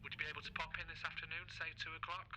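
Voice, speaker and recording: Fast-talking, affable company man. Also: a little high, flat delivery, pushed and bright, a little hiss or hum. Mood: affable, coaxing. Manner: affable, persuasive, businesslike. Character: polite, persuasive company man making a phone call. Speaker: actor in a workplace safety drama. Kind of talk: naturalistic film drama dialogue.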